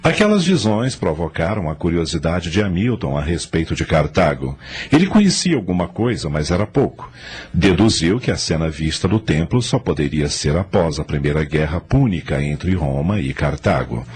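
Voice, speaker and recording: A man's voice: sits low, big pitch swings, normal speed, a little mellow, breathy edge. A man narrating a radio drama from a script in a dramatic storytelling manner. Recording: faint music under the voice.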